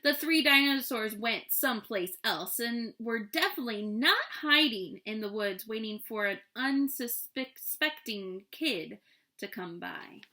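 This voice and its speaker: A woman reads a picture book for children. Her voice is fairly high and very bright, and her delivery is expressive and playful, with tongue-in-cheek asides. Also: measured pace. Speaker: storyteller. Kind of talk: children's storybook read-aloud.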